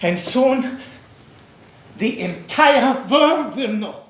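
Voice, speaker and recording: Male actor as resolute jailed pastor: high voice, slow declamatory delivery, echoey stage. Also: expressive, slight background noise, full character voice. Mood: accusing. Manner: solemn, declamatory, measured. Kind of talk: stage play acting.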